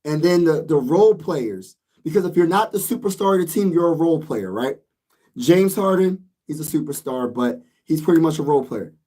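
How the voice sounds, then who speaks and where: Hoarse guy going off about the game, voice sits pretty high, keeps repeating himself. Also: animated, a little fast, mellow. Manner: frustrated, emphatic ranting with repeated phrases. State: losing his voice. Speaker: sports vlogger. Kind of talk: casual sports rant.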